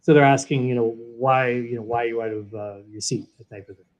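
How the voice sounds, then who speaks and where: A man, a guest in an interview, explaining a software product in an animated way, walking through each step. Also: mid-range voice, fast. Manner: animated, walks through steps one by one. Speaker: guest, sales training software executive.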